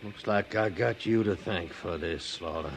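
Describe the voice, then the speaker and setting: An American actor playing a gruff, hostile, taunting sheriff in a 1950s radio western. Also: male voice, sits low, measured pace, soft background music. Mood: angry, threatening. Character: hostile, sneering Old West sheriff.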